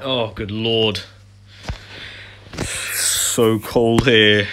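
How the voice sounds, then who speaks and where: A man's voice: fairly low pitch, little pitch movement, extremely slow, noisy background. A man hosting a game playthrough, commenting and reading dialogue aloud, with game music behind. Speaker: gaming video host. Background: game music, faint.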